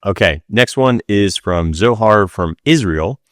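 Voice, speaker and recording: A man's voice: on the low side, animated, measured pace, no echo, studio quality. A man co-hosting a podcast, explaining his opinions in a casual, conversational way. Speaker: podcast co-host.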